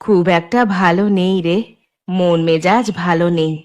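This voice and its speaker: A woman's voice: lowish voice, steady tone, measured pace, a little mellow, natural voice. A woman voicing a downcast, lonely mistress of a village household in a cartoon. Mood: downcast, wistful. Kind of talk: Bangla cartoon voice acting.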